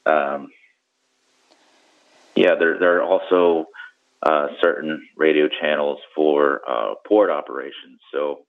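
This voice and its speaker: A man's voice: rumbling low, fairly even intonation, slow pace, creaky, pauses often. A man explaining things informally as an interview guest, in a conversational way, checking in with the listener now and then. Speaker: guest, commercial mariner and boating trip planner. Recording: muffled narrow-band audio.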